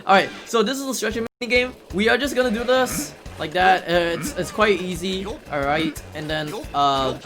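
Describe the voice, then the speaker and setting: A man playing a video game live and commentating on it casually in a jokey, excitable way as a speedrunner. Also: high-pitched, moderate pace, hardly any pauses. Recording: game music behind the voice. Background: video game music.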